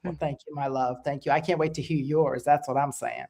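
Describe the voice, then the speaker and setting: A woman hosting a casual interview, animated and quick to laugh, encouraging her guest. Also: sits low, vocal fry. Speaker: host.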